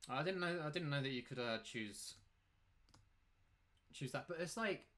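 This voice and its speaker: A man's voice: on the high side, expressive, moderate pace, a bit bright. A man giving casual, rambling live commentary as he plays poker, emphatically repeating points and rhetorical questions. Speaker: poker player and coach commentating his own play.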